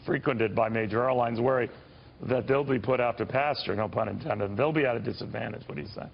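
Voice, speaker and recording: Gravelly male news interviewer, halting delivery. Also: mid-range voice. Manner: halting, hesitant phrasing with drawn-out pauses. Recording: muffled, band-limited broadcast audio.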